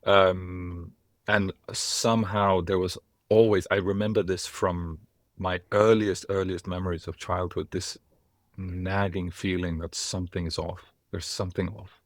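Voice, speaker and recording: A man from Denmark, a former monk, telling his life story as a podcast guest in a reflective, wry way with lively asides. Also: low voice, measured pace, dry recording, clean studio sound. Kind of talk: casual podcast conversation.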